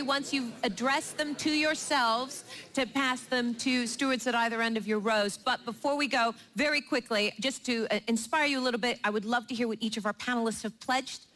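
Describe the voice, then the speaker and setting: Lady with a fairly high voice hosting a live panel, chatty, talking to the audience. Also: bright, forceful tone. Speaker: event host chairing a panel. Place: radio theatre stage in front of an audience.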